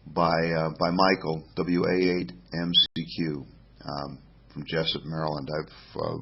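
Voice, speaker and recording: A man's voice: low voice, husky, lo-fi sound. A middle-aged man hosting a casual solo podcast from home, rambling with many fillers and restarts. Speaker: podcast host.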